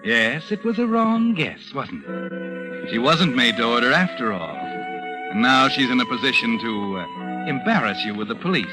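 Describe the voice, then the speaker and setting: A man's voice: medium pitch, sing-song, measured pace, low-quality recording. A man acting as a sardonic, all-knowing narrator of an old radio mystery, taunting and insinuating as he addresses a criminal. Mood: mocking. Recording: old radio recording, loud music behind. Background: loud dramatic music.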